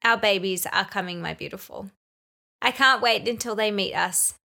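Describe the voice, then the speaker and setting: A woman's voice: mid-range voice, normal speed, gravelly, sharp tone. A woman co-hosting a podcast in casual chat, talking in a loose, filler-heavy way and reading listener letters aloud. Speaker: podcast co-host.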